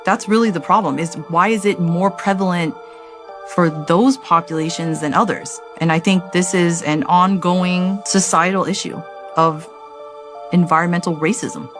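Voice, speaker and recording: Low-voiced woman expert explaining firmly. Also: fairly even intonation, moderate pace, faint music behind. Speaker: interviewed expert. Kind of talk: interview answers.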